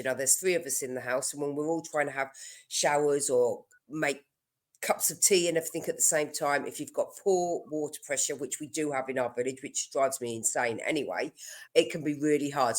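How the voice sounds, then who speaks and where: A woman mortgage broker with a stuffy nose from a cold, chatting casually and explaining her advice with everyday examples. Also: a bass voice.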